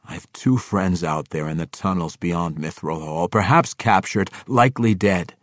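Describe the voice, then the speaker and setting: A man voicing a principled, resolute male drow ranger who speaks in a measured, firm way. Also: sits low, a bit bright, dry recording, studio quality.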